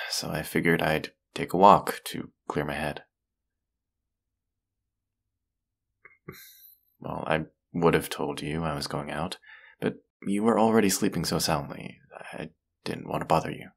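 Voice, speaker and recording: A young man plays a worn-out college boyfriend in an intimate audio roleplay. His voice is extremely low with a gravelly fry. He talks slowly and softly, drowsy, hesitant and affectionate. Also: full character voice. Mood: quiet, weary. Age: young adult. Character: tired, overworked, affectionate college-student boyfriend.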